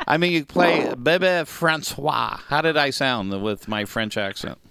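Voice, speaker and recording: A man's voice: mid-range voice, unhurried, vocal fry, pushed and bright, clean tone, no echo. A man hosting a live radio show, bantering jokily with his co-hosts and a guest, with frequent fillers. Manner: chatty and joking, with frequent filler words. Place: radio studio.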